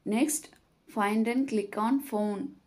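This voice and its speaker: A woman reading scripted step-by-step tutorial narration in a measured, instructional manner. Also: on the high side, darkish tone.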